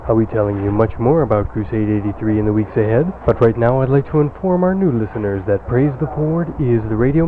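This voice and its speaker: A man playing a folksy, earnest church deacon giving a salesman's pitch in a parody radio ministry announcement. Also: lightly acted. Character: folksy male church deacon promoting fundraising crusade. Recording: very noisy, narrow-band old radio recording.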